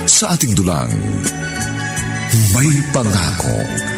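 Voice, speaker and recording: A male radio drama announcer with a Filipino accent over loud music. He speaks slowly and dramatically in a lowish, gravelly voice with sweeping intonation. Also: wildly swooping pitch. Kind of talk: dramatic scripted narration. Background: loud theme music.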